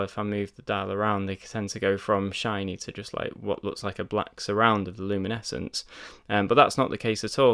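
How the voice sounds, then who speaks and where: A man's voice: lowish voice, a bit bright, clear, resonant tone. A man talking casually through a watch review, chatty and filled with ums and repeated words. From United Kingdom. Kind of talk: casual product review to camera.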